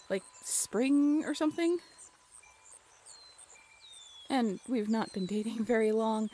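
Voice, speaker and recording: A woman voice actor playing a playful, teasing young woman in an intimate, conversational roleplay audio drama, expressive and a little halting. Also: a little high, a little hiss or hum.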